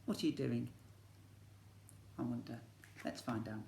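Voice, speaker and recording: A man's voice: medium pitch, fairly even intonation, unhurried, vocal fry, noisy background. A man, a teacher reading a children's story expressively and breaking off to ask his young listeners questions. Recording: echoey room.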